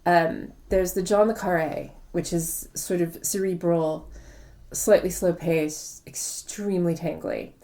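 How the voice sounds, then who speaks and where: A woman's voice: lowish voice, fairly even intonation, slow, dark tone. A woman speaking conversationally as an interviewed author, explaining things reflectively and repeating words for emphasis. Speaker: interviewed novelist.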